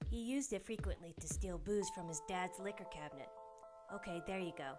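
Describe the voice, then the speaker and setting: A woman's voice: lowish voice, brisk, over music, lightly acted. A woman playing a young student in an audio drama, a fretful sister with a fiery temper and a casual, emotional manner. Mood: casual, resigned.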